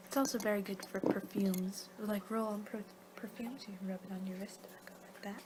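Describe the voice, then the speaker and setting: A woman performing a playful ASMR roleplay, rambling along in soft speech and whispers as if losing her train of thought. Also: medium pitch. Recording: clear background noise.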